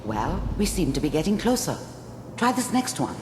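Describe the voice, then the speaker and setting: Low, clinical woman scientist. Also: sing-song, normal speed, roomy sound, big-room sound, background noise. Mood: guiding calm. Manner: clinical, careful, guiding. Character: composed female scientist guiding a patient through a procedure. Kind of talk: video game voice acting.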